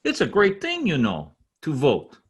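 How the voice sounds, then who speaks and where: An earnest immigrant tradesman from a radio play, with a foreign accent and halting simple English. The voice is husky and high, swinging widely with proud excitement, and takes its time. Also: full character voice. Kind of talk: old-time radio comedy acting.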